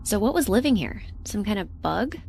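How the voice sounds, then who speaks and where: A woman's voice: mid-range voice, sing-song, normal speed, a little mellow, a little hiss or hum. A woman playing an earnest, easily indignant young intern in a science fiction audio drama, with informal, emphatic, youthful phrasing. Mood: curious. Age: young adult. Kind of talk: naturalistic audio drama acting. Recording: audio drama with clear background ambience.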